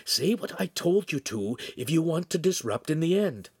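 A man narrator plays a deferential secret adviser. He coaxes urgently in a raised, swinging pitch, with a dry, close storybook sound. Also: sing-song, normal speed, darkish tone, breathy edge, no room sound. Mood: urgent, coaxing. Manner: coaxing, insistent.

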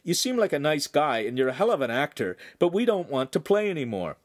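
A man's voice: a little high, sing-song, fairly quick, no echo, studio quality, pitched above the performer's own voice. A man voicing a level-headed teenage boy in an audiobook, casual and a little complaining. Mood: reasoning.

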